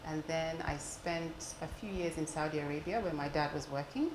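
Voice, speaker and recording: Low-voiced English-raised woman in her forties. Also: moderate pace, roomy sound, a crowd in the background, rough recording. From England. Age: 40s. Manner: earnest, prayerful. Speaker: guest. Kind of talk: interview and spoken prayer.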